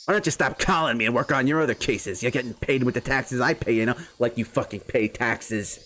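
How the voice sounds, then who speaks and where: A man's voice: mid-range voice, fairly quick, a bit bright, close to an everyday voice. A man voicing a volatile, abusive middle-aged man who swings between snarling and a falsely sweet tone. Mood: hostile.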